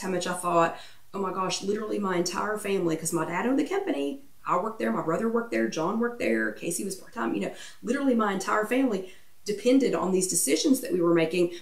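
A middle-aged woman hosting a wellness workshop in a chatty, animated way, her voice getting croaky. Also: medium pitch, far from the mic. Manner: chatty, animated, sings playful asides. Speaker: workshop host. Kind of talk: casual motivational talk. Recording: roomy echo, speaker a bit off-mic.

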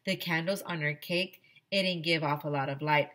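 Low-voiced woman reading a children's story. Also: normal speed. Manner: animated, acts out dialogue. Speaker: teacher reading aloud. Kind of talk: expressive children's story read-aloud. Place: small decorated home classroom. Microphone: headset microphone.

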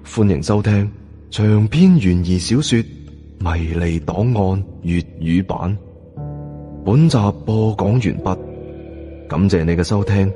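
A man's voice: low-pitched, lively intonation, takes it slowly, pauses often, dark tone, soft background music. A man with a Hong Kong Cantonese accent, narrating an audiobook in a dramatic first-person storytelling style.

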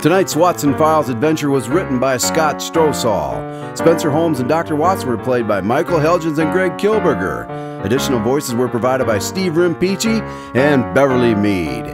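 A curious radio host and announcer, played by a man, in the style of a serial drama. His mid-pitched voice is lively, with loud plucked guitar music behind it. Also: moderate pace. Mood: formal sign-off. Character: radio host, curious male listener to Watson's tales.

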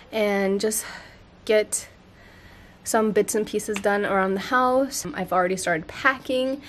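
Chatty American woman vlogging outdoors, background noise. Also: mid-range voice. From Thailand-born, raised in the United States. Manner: chatty, informal, expressive. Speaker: fitness vlogger. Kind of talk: casual vlog chat to camera. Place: outdoor patio by a pool at home. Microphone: camera held at arm's length.

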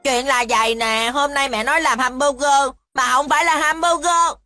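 A woman's voice: high voice, fairly even intonation, moderate pace, pushed and bright, no room sound, clean studio sound, faint music behind. A woman voicing a mischievous, carefree little boy in a cartoon dub, with a southern Vietnamese accent and a playful manner. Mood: sulky. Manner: playful, refers to himself by name.

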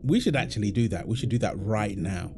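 A man's voice: lowish voice, lively intonation, a little fast, background noise. A man playing a playful, doting husband in an intimate audio roleplay, teasing and affectionate, full of exclamations. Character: playful, doting husband apologizing to his pregnant wife.

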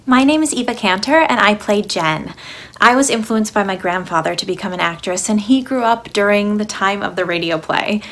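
A woman in her thirties introducing herself as a voice actress, warm and enthusiastic, at home. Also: mid-range voice, normal speed. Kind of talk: cast self-introduction.

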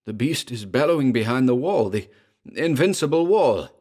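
A man's voice: mid-range voice, very animated, moderate pace, a little mellow, husky, pitched above the performer's own voice. A man putting on a terrified trapped man. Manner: panicked, raised voice. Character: terrified grown man trapped behind walls.

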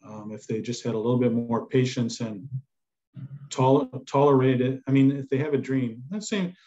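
A man's voice: medium pitch, normal speed, mellow. An older man giving his opinions as a chef on a panel, repeating words for emphasis. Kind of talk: panel discussion. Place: indoors on a video call. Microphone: video call. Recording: slightly dull video-call audio.

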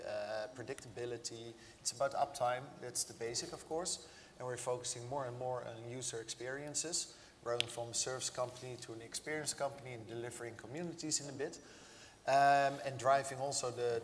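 A man's voice: medium pitch, a bit bright. A man from the Netherlands speaking on a conference panel, hesitant with many fillers. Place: large event hall stage. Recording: reverberant hall, faint noise.

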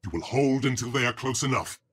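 An actor playing a stern, solemn space marine commander in a video game cutscene, with a husky voice and grave, formal, commanding delivery. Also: male voice, medium pitch, expressive, fairly quick, fairly bright tone. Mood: grave, resolute.

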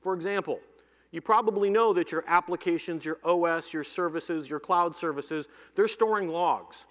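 A middle-aged man presents a software demo from a conference stage. His voice sits a bit high and stays energetic as he rattles off long lists. A headset mic picks him up, and the big hall adds a long reverb tail. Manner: energetic, lists items in quick runs. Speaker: keynote presenter demoing cloud software. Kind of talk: live keynote demo.

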